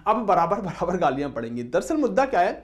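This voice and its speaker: A man's voice: sits high, big pitch swings, quick pace. A man speaking as an exam coaching teacher, explaining informally to students and often addressing them as friends. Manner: repeatedly addresses listeners as friends.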